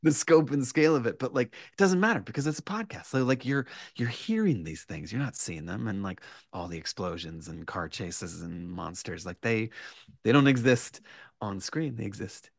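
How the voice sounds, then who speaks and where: A man chatting casually as a writer guest on a podcast, in halting bursts with repeated starts and asides. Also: lowish voice, animated, creaky, no room sound, studio quality. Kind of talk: animated casual interview chat.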